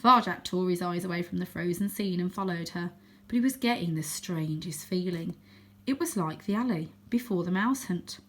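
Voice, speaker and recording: A woman narrating a children's book aloud as a storyteller, with expressive delivery. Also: lowish voice, normal speed. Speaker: storybook reader.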